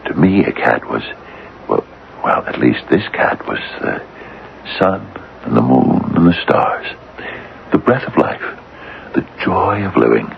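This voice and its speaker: A very low, gravelly older man in a vintage radio drama. He is fond, fussy and eccentric, with a lively swooping delivery. The recording is dull with some hiss. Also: measured pace, pauses often, exaggerated voice. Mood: tender narration. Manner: fussy, hesitant, fond murmuring. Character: doting, eccentric older father obsessed with his cat. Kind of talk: old-time radio drama acting and narration.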